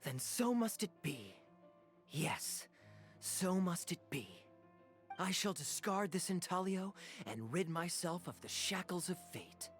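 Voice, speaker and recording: Suave, grandiose young prince, music behind. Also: male voice, high-pitched, big pitch swings, heavily acted. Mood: dramatic, musing. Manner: grandiose, measured, dramatic pauses. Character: suave young swordsman acting a noble tragic stage prince. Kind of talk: theatrical stage-play declamation in a fantasy video game.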